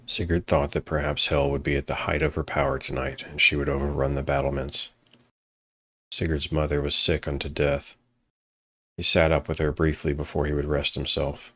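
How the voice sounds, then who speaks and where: A man narrating a scripted fantasy story in a solemn, measured manner. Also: extremely low pitch, level tone, sharp tone, no room sound.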